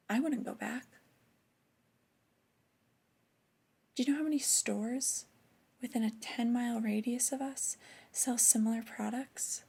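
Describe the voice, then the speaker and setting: Woman as flirty, disappointed boss for ASMR roleplay. Breathy, whispery, creaky low register in delivery, intimate reprimands. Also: mellow, heavily acted. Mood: stern, disappointed. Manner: slow, deliberate, intimate reprimanding. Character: flirty, disappointed female store boss scolding an employee. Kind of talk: soft-spoken ASMR roleplay.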